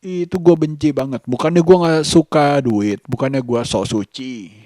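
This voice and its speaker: A man, giving a casual vlog monologue in halting, deliberate short phrases. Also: medium pitch, warm tone, no room sound, studio quality. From Indonesia. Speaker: vlogger.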